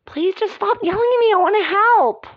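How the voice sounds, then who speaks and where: A woman's voice: very high voice, moderate pace, voice pushed up. A woman voicing a bossy young female friend, chiding and insistent, in amateur soap-opera role-play. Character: Melanie, bossy, scolding, high-voiced girlfriend trying to help. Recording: dull lo-fi recording.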